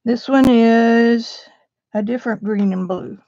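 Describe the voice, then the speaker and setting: A woman's voice: fairly high pitch, unhurried, dark tone, dry recording, muffled recording. A woman, chatting casually on a live stream as an art teacher, a bit sniffly, describing her supplies to viewers.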